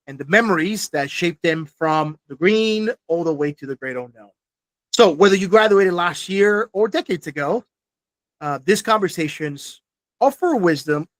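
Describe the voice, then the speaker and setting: Male podcast host, forties, high-pitched, polished and measured, dry close sound. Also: no room sound, rough recording. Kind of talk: scripted podcast intro and interview questions.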